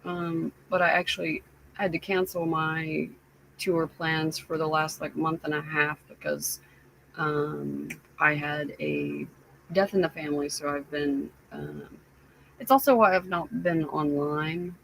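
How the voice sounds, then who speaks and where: A woman live streamer chatting casually with her viewers, sounding stuffy and raspy, rambling with lots of fillers. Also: low-pitched, fairly even intonation, a little hiss or hum, low-quality recording. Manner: slow, rambling, many fillers.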